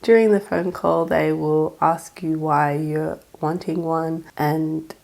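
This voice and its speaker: A young Australian woman with a raspy voice from a cold, chatting through practical tips in a home vlog. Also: sits low, fairly even intonation, slow, darkish tone. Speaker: vlogger. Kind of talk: casual advice vlog.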